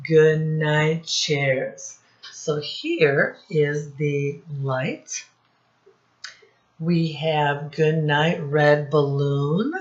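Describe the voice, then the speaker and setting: A woman teacher reading a picture book aloud to young children in deliberate, separated phrases, asking them questions as she goes. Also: low voice. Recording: dull, muffled lo-fi recording.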